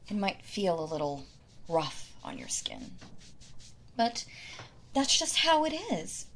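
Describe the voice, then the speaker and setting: A woman's voice: mid-range voice, big pitch swings, a little hiss or hum, exaggerated voice. A woman playing a possessive yandere wife in an intimate audio roleplay, doting and condescending, with a sweetly menacing manner. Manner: slow, coddling, condescending, with sighs and soft laughs.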